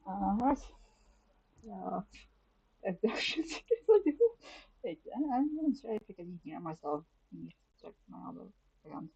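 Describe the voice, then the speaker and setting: A woman's voice: mid-range voice, big pitch swings, moderate pace, creaky, long pauses, warm tone, slightly rough, lo-fi sound. A woman chatting casually and hesitantly to herself as she streams a game. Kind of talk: casual gameplay chat, thinking aloud.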